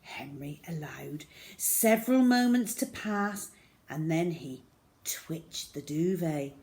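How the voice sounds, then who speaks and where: A woman tells a children's story at an unhurried pace. Her delivery is dramatic and very lively in pitch, sinking into hushed tones for suspense. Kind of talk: animated story reading for children.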